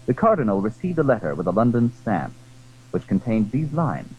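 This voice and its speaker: A man's voice: medium pitch, sing-song, moderate pace, darkish tone, a little hiss or hum. A man narrating a literary audio drama in a formal, dramatic storytelling style. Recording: muffled, narrow-band 1980s audio drama recording.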